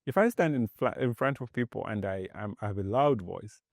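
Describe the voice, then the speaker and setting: A man from Zambia, a tech executive, talking as a podcast guest in an animated way, often restarting and repeating words. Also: on the low side, fairly quick, creaky, dry recording, studio quality. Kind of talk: casual interview talk.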